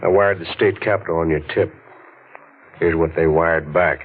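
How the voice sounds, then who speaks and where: An actor playing a stern, methodical district attorney in a 1940s radio drama, measured and probing. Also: male voice, deep voice, steady tone, slightly rough. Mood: grave, probing. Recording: muffled vintage radio.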